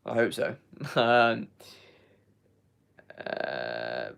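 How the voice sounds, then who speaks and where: A man's voice: on the low side, very animated, very slow, gravelly, fairly bright tone, clean tone. A young man hosting a live football fan review, losing his voice, rambling with repeated words and many fillers. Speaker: fan channel host. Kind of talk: live match review, reading chat.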